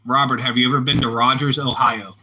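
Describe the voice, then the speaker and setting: Pittsburgh guy chatting with his stream, rambly, says stuff twice, real sharp bright voice. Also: mid-range voice, little pitch movement, fairly quick, slight background noise, low-quality recording. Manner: rambling, repeats himself, talks back to chat. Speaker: livestreamer chatting with viewers. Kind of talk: casual live-stream chat.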